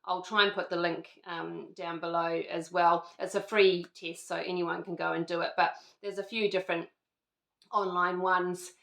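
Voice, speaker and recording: Middle-aged kiwi woman vlogging at home, lowish gravelly voice, rambly and chatty. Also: level tone, moderate pace, a bit bright, roomy sound. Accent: New Zealand. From New Zealand. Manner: chatty, rambling, many fillers and restarts. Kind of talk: casual chat to camera. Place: at home indoors.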